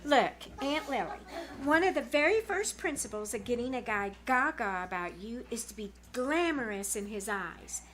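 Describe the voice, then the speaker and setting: A woman's voice: fairly high pitch, lively intonation, moderate pace, a bit bright. A woman playing a bossy, gushing teenage girl who talks in dramatic slang, in 1940s radio comedy style. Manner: slangy, dramatic, know-it-all. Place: small-town theater stage, live performance.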